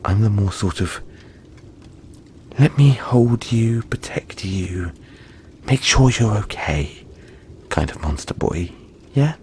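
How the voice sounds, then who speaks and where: Man playing a slow-talking, gentle deep-voiced yeti monster. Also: lively intonation, a little hiss or hum. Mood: warm, playful. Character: gentle, cuddly, long-lived male yeti monster. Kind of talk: intimate audio roleplay monologue to listener.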